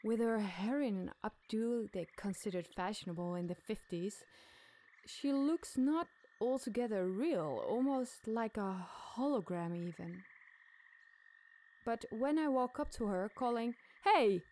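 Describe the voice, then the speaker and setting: A woman telling a scary campfire story in a suspenseful, deliberate, dramatic narration. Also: a little high, expressive, mellow, a little hiss or hum. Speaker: podcast storyteller.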